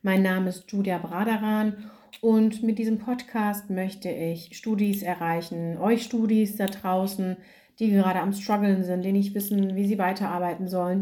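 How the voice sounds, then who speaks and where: Leicht heisere Podcasterin, plaudernd. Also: female voice, mid-range voice, dark tone. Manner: chatty, many filler sounds and restarts. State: slightly hoarse, clearing her throat. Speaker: podcast host and academic writing coach. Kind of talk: casual solo podcast monologue.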